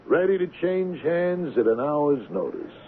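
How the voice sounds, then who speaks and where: A man's voice: on the high side, sing-song, unhurried. An actor playing a smug, assured male blackmailer who needles as he bargains, in 1970s radio drama style. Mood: smug, needling. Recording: narrow-band old radio, faint noise.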